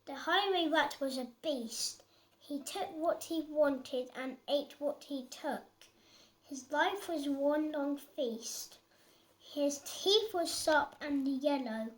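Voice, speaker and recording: Young child, high voice, slow halting storybook reading. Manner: halting early reader, repeats words.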